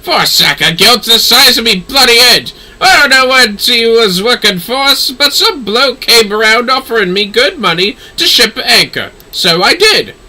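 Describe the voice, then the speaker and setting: Man doing a surly sailor, sharp-voiced. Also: very high voice, very animated, normal speed, few pauses, pitched above the performer's own voice, heavily acted, rough recording. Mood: surly, defensive. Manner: coarse, slangy, grumbling. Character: blunt, surly, hard-drinking sailor turned gunnery instructor. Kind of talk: fantasy game dialogue read aloud.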